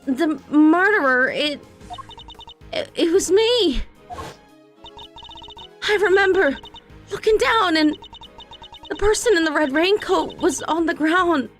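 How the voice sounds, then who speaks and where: A panicked young confessor whose voice climbs high and cracks with tears. The words spill out strained and desperate, as if the speaker is barely holding together. Faint game music sits underneath. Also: female voice, lively intonation, slow, pauses often, a bit bright, full character voice. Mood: distraught. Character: shaken young person confessing to murder, sobbing.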